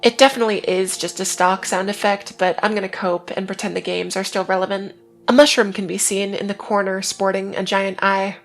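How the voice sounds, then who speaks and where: A woman reads a scripted video essay in a level, monotone delivery, dropping in dry, wry asides as she goes. Faint music sits quietly under her voice. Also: mid-range voice, a little fast. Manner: wry asides between plain retelling.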